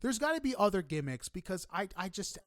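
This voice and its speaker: A man hosting a podcast, chatting casually with a guest in an animated, emphatic and informal way. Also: high voice, a little fast, no echo, studio quality. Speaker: podcast host interviewing guests. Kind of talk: casual interview chat.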